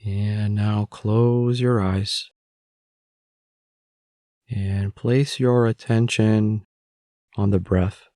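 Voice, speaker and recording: Male meditation guide, lowish pitch, very slow calm delivery with long pauses, dry close-mic sound. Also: no echo.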